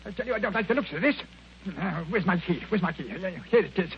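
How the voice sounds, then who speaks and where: A high-voiced, blustering older British man in a vintage radio play. He is miserly, indignant and faintly menacing. The recording is dull, with some noise behind it. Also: animated, a little fast. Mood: grumbling, indignant. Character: irascible, miserly, menacing husband turned murderer. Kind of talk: mid-century radio drama acting.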